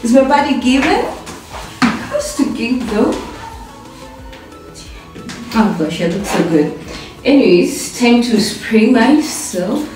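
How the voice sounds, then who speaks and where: A woman's voice: a little high, big pitch swings, takes it slowly, long pauses. A young woman vlogging casually as she gets ready, chatty and playful, often repeating words for fun. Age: young adult. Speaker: vlogger and home baker. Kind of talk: casual get-ready-with-me vlog chat. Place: bathroom with tiled walls and glass shower. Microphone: camera set back across the room. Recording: echoey bathroom, heard from a distance, soft music.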